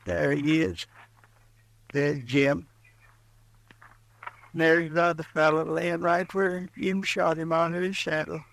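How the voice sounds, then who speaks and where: An actor playing a frightened, slow-witted old settler who stammers in a halting country drawl, in an old radio drama. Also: male voice, a little high, steady tone, gravelly, fairly bright tone, full character voice. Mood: mournful. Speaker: old man witness. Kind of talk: 1950s radio drama acting.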